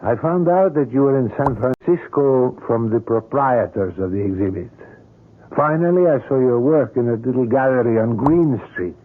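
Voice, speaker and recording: Male actor as older gentleman artist, muffled old radio sound, husky voice, formal florid phrasing, obsessive, polite. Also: mid-range voice, lively intonation, moderate pace, natural voice. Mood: smooth, insistent. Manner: formal, florid, precise diction. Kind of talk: old-time radio drama acting. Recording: muffled vintage radio drama recording.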